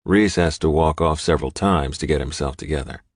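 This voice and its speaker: Very deep-voiced man narrating a gritty novel. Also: fairly quick, no room sound, clean studio sound. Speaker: audiobook narrator. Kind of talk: scripted first-person fiction narration.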